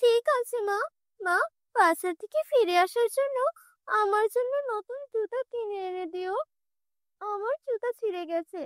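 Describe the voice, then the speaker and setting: A woman plays a poor young girl in a fairy-tale cartoon. Her voice is very high and close-up dry, pleading and stubborn, full of self-pity. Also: a little slow, no room sound.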